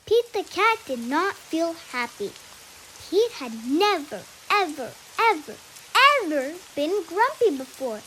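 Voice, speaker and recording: A woman narrates a children's storybook in a high voice with very lively intonation. She is expressive and emphatic, taking her time, with music playing behind her. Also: background noise. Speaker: storybook reader. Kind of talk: children's read-aloud narration.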